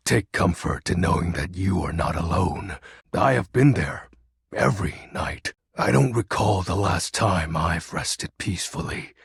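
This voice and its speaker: A man voicing a melodramatic, pompous dark lord, darkness personified, in a video game, with a raspy, gravelly voice and grandiose archaic diction. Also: deep voice, expressive, measured pace, no echo, studio quality, heavily acted. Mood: wistful, brooding. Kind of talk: video game character voice acting.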